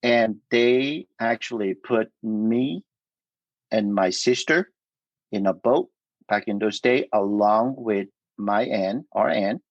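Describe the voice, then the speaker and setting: A middle-aged man from Vietnam whose first language is Cantonese, telling his life story in a casual interview, halting with many fillers and restarts. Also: fairly low pitch, no echo, muffled recording. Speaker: guest.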